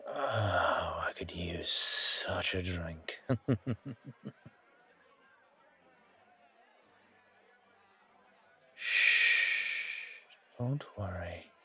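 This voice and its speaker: Man voicing a slow, husky, courtly vampire gentleman. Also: fairly low pitch. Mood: seductive, teasing. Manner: genteel, poetic, unhurried, flirtatious. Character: suave, courtly, old-world male vampire charming a stranger. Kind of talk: roleplay audio, ASMR horror.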